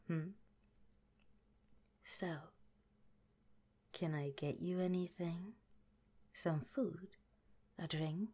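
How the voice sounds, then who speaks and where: A woman voicing a sly but gentle scientist who runs an underground lab, in a soft, half-whispered ASMR roleplay. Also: lowish voice. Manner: sweetly hospitable, with a scheming edge.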